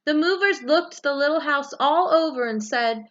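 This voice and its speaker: A woman reading a children's picture book aloud, with expressive, deliberate storytelling phrasing. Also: sits high, lo-fi sound. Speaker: story reader, read-aloud for young children.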